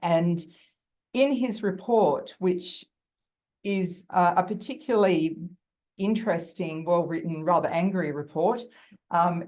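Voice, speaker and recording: A woman with a croaky voice giving an academic talk over a video call, thoughtful and full of fillers and restarts. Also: lowish voice, a little mellow, rough recording. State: croaky from bronchitis. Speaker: guest lecturer.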